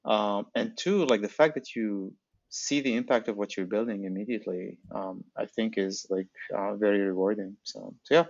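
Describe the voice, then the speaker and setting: A man's voice: fairly low pitch, steady tone, normal speed, darkish tone, dry recording. A middle-aged man from Algeria giving casual, informal interview answers as a guest, on slightly dull audio. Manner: informal, frequent filler "like". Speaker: podcast guest, startup founder.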